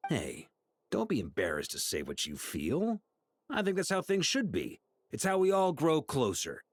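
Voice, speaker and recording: A man voicing a steady, protective adult male knight who speaks frankly and encouragingly in video game dialogue. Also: fairly high pitch, normal speed, dry recording, clean studio sound.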